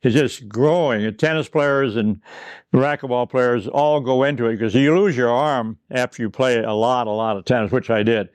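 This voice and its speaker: A man's voice: medium pitch, normal speed, darkish tone, clear, resonant tone, no echo. An older man from Pine Ridge, South Dakota, reminiscing in a rambling, chuckling way as an interview guest. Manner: rambling, chuckling storyteller, dry humor. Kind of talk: reminiscing in a recorded conversation.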